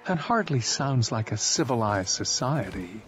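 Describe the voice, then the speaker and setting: A man's voice: mid-range voice, sing-song, a little slow, a bit bright. A man reading aloud voicing a young woman soldier who argues her point insistently. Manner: insistent, argumentative.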